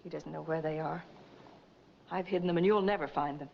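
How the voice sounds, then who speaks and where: Lowish-voiced, composed noir heroine. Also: female voice, steady tone, fairly quick, vocal fry. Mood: defiant. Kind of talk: 1940s crime film acting. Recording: dull, noisy 1940s film soundtrack.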